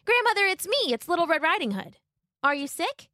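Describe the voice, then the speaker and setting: Woman voicing a high, innocent little girl. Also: very animated, fairly quick, dry recording, studio quality, full character voice. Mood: concerned. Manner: polite, bright and childlike. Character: polite, innocent, cheerful young storybook girl.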